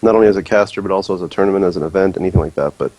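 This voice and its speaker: A man's voice: low voice, rapid-fire, mellow, no echo, rough recording. A man speaking earnestly and persuasively on a casual podcast, with many fillers. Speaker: podcast guest, league executive.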